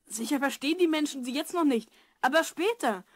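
A woman playing an eager, caring twelve-year-old boy in a German audio drama, earnest and polite, slightly husky. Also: high voice, expressive, fairly quick. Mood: earnest, urging.

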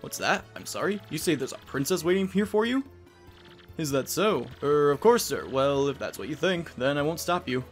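A man's voice: a little high, animated, normal speed, soft background music, natural voice. Man as courteous, deferential ship's sailor. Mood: deferential, cautious. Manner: formal, deferential, hesitant. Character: courteous, apologetic sailor warning his passenger.

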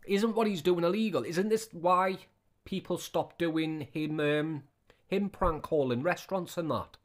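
A man's voice: a little high, unhurried. A man in his thirties giving casual, scornful reaction commentary, often repeating his questions. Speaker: reaction video host.

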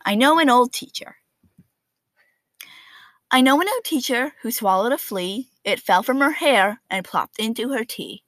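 A woman's voice: a little high, animated, a little slow, fairly bright tone, no echo. A woman reading a children's picture book aloud expressively, with a sing-song rhyming delivery.

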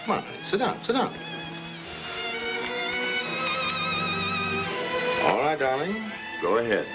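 A man's voice: a little high, huge pitch swings, quick pace, rough recording. An actor playing a genial, self-assured middle-aged American suburban father with jovial, theatrical pronouncements in a 1950s film style. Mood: jovial, coaxing. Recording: 1950s film soundtrack with music behind.

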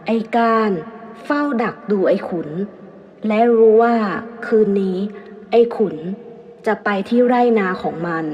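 A woman's voice: mid-range voice, a little slow, a little hiss or hum. A woman storyteller narrating a scripted tale in a dramatic style. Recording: muffled, long reverb.

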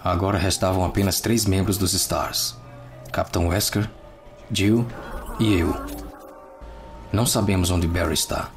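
A man voicing a grim special-forces officer who narrates a horror mystery gravely and deliberately, in a fan dub. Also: low-pitched, fairly even intonation. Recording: loud music over the voice.

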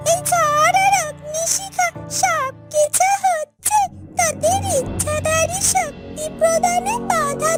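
A woman voicing an anxious yellow bird in a children's cartoon, pleading in a slow, drawn-out way, with music under the voice. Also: very high-pitched.

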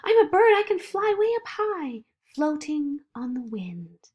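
A woman voicing an excitable, defiant little girl with big dreams in an animated picture-book read-aloud, eager and emphatic. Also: sits high, unhurried, pitched above the performer's own voice. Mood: dreamy, excited.

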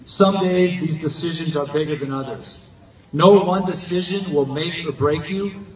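An older man giving a prepared graduation speech as a coach and teacher, speaking earnestly in measured, declarative phrases. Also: on the high side, a little hiss or hum. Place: outdoor football stadium, recorded from the stands. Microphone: podium microphone over PA, captured by distant handheld camera. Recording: echoey stadium PA heard from far off.

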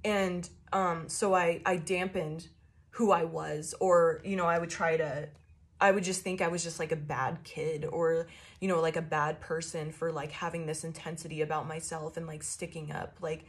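A young woman doing a casual tarot reading to her phone camera in a small room, with a loud, carrying voice and a rambling, profane way of talking full of fillers. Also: sits low, level tone, moderate pace. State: shaky. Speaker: tarot reader.

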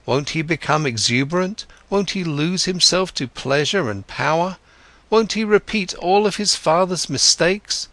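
A man's voice: fairly high pitch, expressive, measured pace, a bit bright, no room sound, voice pushed up. A man voicing a troubled middle-aged father asking anxious, rising questions. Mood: worried.

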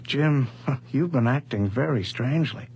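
An actor playing an outwardly reasonable, evasive friend in a radio horror drama, hesitant and soothing. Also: male voice, mid-range voice, unhurried, a little mellow, a little hiss or hum, lightly acted. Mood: puzzled, placating.

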